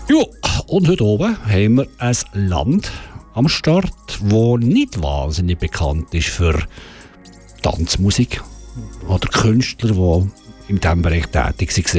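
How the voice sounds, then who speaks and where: A man's voice: low-pitched, darkish tone. A man with a Swiss German accent hosting a dance music radio show, chatting loosely and correcting himself as he goes. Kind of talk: casual music radio presentation.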